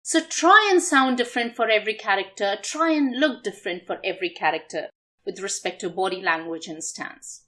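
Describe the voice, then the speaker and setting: A woman giving an instructional talk as a public speaking coach, with deliberate, expressive emphasis. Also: mid-range voice.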